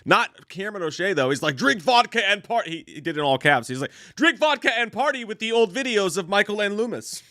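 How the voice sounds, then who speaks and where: A slightly hoarse man co-hosting a livestream, reading out viewer comments and riffing playfully in casual, joking chat. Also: sits high, very animated, quick pace, hardly any pauses, bright, forceful tone, no room sound, studio quality. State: hoarse after drinking.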